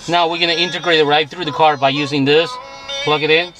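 A man in his forties giving a halting, repetitive product demonstration as presenter and seller. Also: on the high side, fairly even intonation, poor audio. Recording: music playing behind the voice. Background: music.

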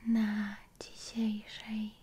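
A woman softly guiding a relaxation session in ASMR style, hushed and soothing, gently repeating her phrases. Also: mid-range voice, completely flat delivery, extremely slow, a little hiss or hum. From Poland. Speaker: ASMR creator, reiki session guide. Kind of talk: ASMR guided relaxation, soft-spoken and whispered.

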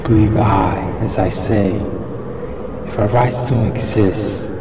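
A man reciting a poem in a solemn, measured, declamatory way. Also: steady tone. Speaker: poetry reader. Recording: muffled lo-fi with loud background rumble.

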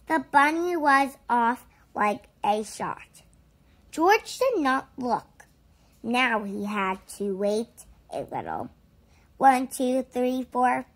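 A woman reading a children's picture book aloud with deliberate, expressive phrasing. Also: on the high side. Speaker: storybook reader.

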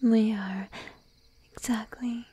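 A shy, clingy monster-girl girlfriend played by a woman murmurs in a breathy, very soft whisper. Her delivery is slow and even, drowsy and playfully coaxing, like an intimate ASMR roleplay, recorded close and dry. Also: on the high side, dry recording, exaggerated voice. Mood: tender, affectionate. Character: shy, needy, teasing young sheep-girl girlfriend. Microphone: close microphone, dry.

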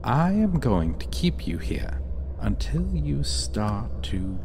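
A man playing a smug, sadistic, possessive vampire lord in an ASMR roleplay, teasing with mock affection and quiet menace. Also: mid-range voice, very animated, slow, vocal fry, noisy background, heavily acted. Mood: controlling, menacing. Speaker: voice actor in audio roleplay.

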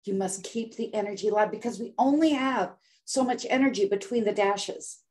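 An older woman, a health coach guest on a podcast, telling her story in a dramatic, animated way. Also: medium pitch, moderate pace. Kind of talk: storytelling in conversation.

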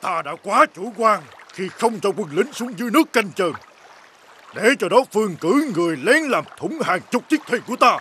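An actor playing an arrogant, irritable enemy commander in an animated folk tale, speaking in an imperious, blustering manner. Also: male voice, sits high, moderate pace, a little hiss or hum, full character voice. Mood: threatening, stern. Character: arrogant, irritable enemy war commander threatening his men.

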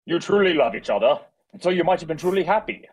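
A man's voice: fairly high pitch, expressive, fast talker, breathy edge, rough recording. A man playing an arrogant, scheming prince with haughty, formal delivery in an online table read. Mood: cold menace. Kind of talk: table read acting over video call.

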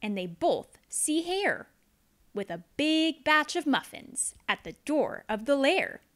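Slow, animated woman reading a storybook. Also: high voice. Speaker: storybook narrator. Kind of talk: expressive children's read-aloud.

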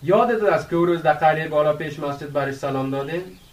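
A man's voice: fairly high pitch, far from the mic, close to an everyday voice. An actor playing a curious, impatient young village man who prods his friend conversationally in a radio drama. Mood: friendly. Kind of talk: radio drama acting in dialogue.

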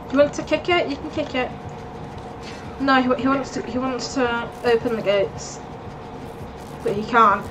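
A young woman with a fairly high voice chats casually and laughs often during a gaming stream. She sits a bit away from the mic, and a steady noise hums under her voice. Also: normal speed, pauses often. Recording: distant mic with background noise.

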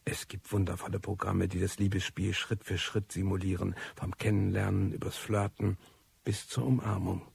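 An actor playing a disillusioned, cynical recluse who tells his life story in a radio drama, wry and bitter, with a husky, gravelly voice. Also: low voice, little pitch movement, moderate pace, a little mellow, dry recording, heavily acted. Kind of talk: radio drama first-person monologue.

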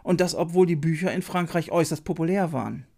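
A man's voice: a little high, fast talker, creaky, warm tone. A middle-aged man presenting a scripted video essay as host of a retro TV channel, recorded on a desk mic in a small room. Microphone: desk microphone. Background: music.

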